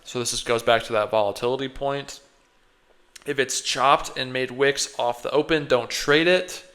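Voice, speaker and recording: A man's voice: medium pitch, moderate pace, a bit bright. A man with a slight cold, sniffling as he rambles through a self-critical monologue close to his microphone. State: a little sick, sniffling. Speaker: day trader reflecting on his own trading. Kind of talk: casual self-reflective monologue.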